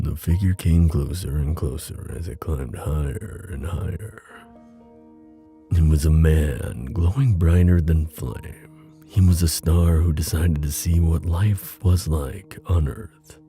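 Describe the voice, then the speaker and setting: Very deep, slow, mellow ASMR man. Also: gravelly. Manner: intimate, soothing. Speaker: ASMR boyfriend roleplay performer. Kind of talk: ASMR bedtime storytelling. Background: music.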